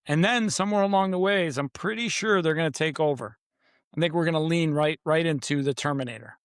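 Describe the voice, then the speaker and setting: Emphatic man, slightly high-pitched, dry sound. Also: fairly quick, no room sound. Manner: emphatic, punchy short declarations with dramatic repetition. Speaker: podcast guest, author. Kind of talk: conversational interview.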